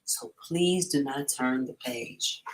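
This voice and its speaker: A woman's voice: rumbling low, slow, slight background noise, low-quality recording. A woman reading aloud as a lovable furry monster from a storybook, anxious and dramatically pleading. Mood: pleading worry.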